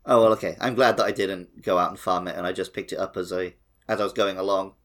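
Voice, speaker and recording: A man's voice: deep voice, fairly even intonation, fast, a bit bright. A man co-hosting a gaming podcast, talking casually and conversationally. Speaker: podcast co-host.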